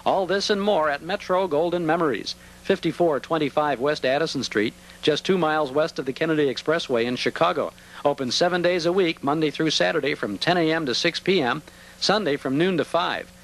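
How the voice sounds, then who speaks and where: A man's voice: fairly high pitch, a little fast, a bit bright, rough recording. A man reading scripted advertising narration as a commercial announcer.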